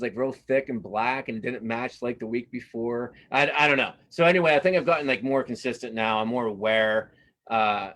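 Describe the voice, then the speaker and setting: A man giving a casual interview as a cartoonist guest, speaking haltingly and modestly, often repeating words as he thinks. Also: medium pitch, fairly bright tone.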